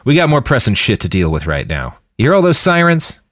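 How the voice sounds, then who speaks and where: A man's voice: mid-range voice, huge pitch swings, a little fast, fairly bright tone, no echo, voice pushed up. A man acts out a battle-hardened post-human fighter in an audiobook. He is sardonic and offhand, swearing casually, with hugely swinging intonation and a close, dry sound. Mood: brisk, casual. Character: gruff irreverent blade-armed post-human male fighter, cheerfully callous. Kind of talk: fiction audiobook character voice.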